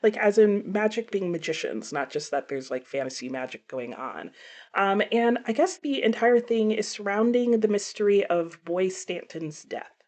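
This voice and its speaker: A woman talking casually as a book reviewer, conversational, with animated asides and self-corrections. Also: medium pitch, moderate pace. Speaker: book reviewer presenting a book haul. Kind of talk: casual chat to camera.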